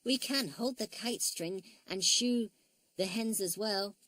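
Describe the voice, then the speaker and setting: A woman voicing a small, sweet girl teddy bear who is anxious and fretful, speaking in a soft voice. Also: medium pitch, takes it slowly, no room sound, voice pushed up, rough recording. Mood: earnest.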